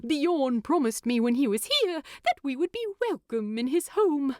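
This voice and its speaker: An eager, stubborn little hobbit gentleman, played by a woman narrator, talks in a high, wildly swinging voice. He rambles and hesitates as he argues his case in a storybook reading. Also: sing-song, normal speed, no room sound, studio quality. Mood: insistent, hopeful. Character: excitable, talkative adult male hobbit, eager and stubborn.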